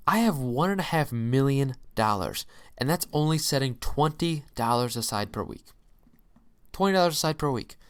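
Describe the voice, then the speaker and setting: A young man giving an informal, emphatic explainer as a channel host, peppered with folksy exclamations and rhetorical questions. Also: a little high. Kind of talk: informal explainer, walking through a calculator.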